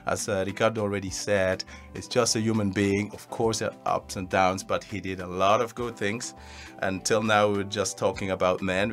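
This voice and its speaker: Low-voiced man from Switzerland, informal and earnest. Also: fairly bright tone. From born in DR Congo, grew up in Switzerland. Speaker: actor being interviewed as cast member. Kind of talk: casual interview talk.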